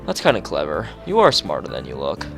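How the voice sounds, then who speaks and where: A man's voice: a bass voice, wildly swooping pitch, brisk, vocal fry, soft background music, pitched below the performer's own voice, full character voice. Man voicing an odd, blunt teenage schoolgirl. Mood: blunt, curious.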